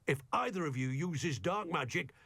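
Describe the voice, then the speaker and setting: An actor playing a stern older uncle who scolds harshly. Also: male voice, a little high, animated, normal speed, bright, forceful tone, husky, dry recording, full character voice.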